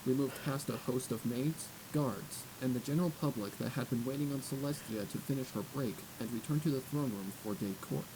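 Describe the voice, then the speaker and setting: A man's voice: mid-range voice, brisk, hardly any pauses, noisy background. A man reading a story aloud as an audiobook narrator, in a scripted narration style.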